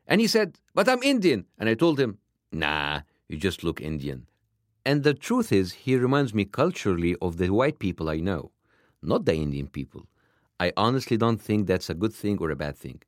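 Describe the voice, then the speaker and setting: Lively accented male podcast host. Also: normal speed, no room sound, studio quality. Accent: foreign-accented English. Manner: animated, wry, pitch jumping up for emphasis. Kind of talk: scripted podcast narration.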